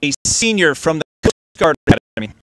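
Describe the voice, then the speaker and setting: A young man at an outdoor podium microphone, reading out award winners in a steady, formal way. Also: on the high side, fairly quick, a little hiss or hum, low-quality recording. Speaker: awards presenter. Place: outdoor stage by a river.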